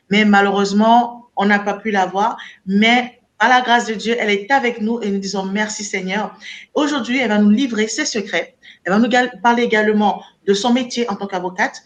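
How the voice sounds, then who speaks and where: A woman hosting a web interview show, introducing her guest and asking questions, often repeating and restarting words. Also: mid-range voice. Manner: repeats and restarts words, warm religious phrasing. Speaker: host and founder of a web show. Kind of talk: interview host.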